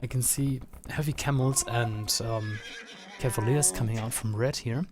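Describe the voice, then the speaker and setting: A man from Germany with a German accent, calmly commentating a strategy game match and answering chat questions. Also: medium pitch, normal speed, noisy background. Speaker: esports commentator. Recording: game music playing behind.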